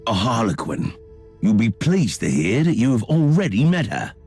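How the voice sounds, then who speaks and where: A man's voice: mid-range voice, sing-song, moderate pace, a little mellow, slightly rough. An actor playing a sly, scheming male inquisitor in a video game, with an urbane, wry, theatrical delivery. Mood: wry, persuasive. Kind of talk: dramatic video game cutscene acting. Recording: music behind the voice.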